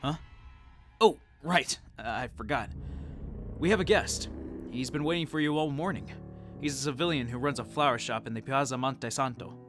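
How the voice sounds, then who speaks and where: A young gangster's right-hand man performed by a man for an anime dub. He is courteous and matter-of-fact, speaking at a medium pitch. Clear background music accompanies him. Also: normal speed, fairly bright tone, close to an everyday voice. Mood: businesslike. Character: polite, businesslike young male gangster subordinate. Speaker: voice actor in a fan dub.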